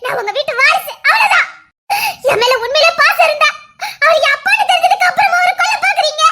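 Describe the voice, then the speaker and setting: An actress playing a young woman who accuses her family through tears, shouting and sobbing in a TV serial drama. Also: extremely high pitch, animated, fast, heavily acted. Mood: tearful accusing. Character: young woman, fierce defiant daughter defending her father.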